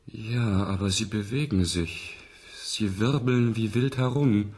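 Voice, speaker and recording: A man in a German radio play playing a young test subject who relives memories in a trance, halting and wondering. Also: lowish voice, a little mellow, husky. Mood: dazed, puzzled. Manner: halting, searching, wondering delivery.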